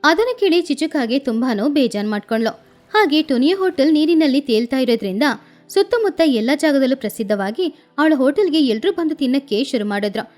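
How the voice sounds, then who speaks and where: A woman narrating a children's story in an animated storytelling style. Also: sits high, a little fast, soft background music.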